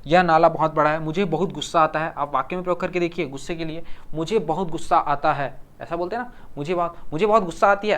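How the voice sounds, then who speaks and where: This is a man teaching exam-prep grammar in Hindi with a Chhattisgarh accent. His voice is clear and resonant and a touch higher than most men's. He repeats each word over and over and keeps asking whether everyone follows. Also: a bit bright. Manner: repeats words and asks the class to confirm.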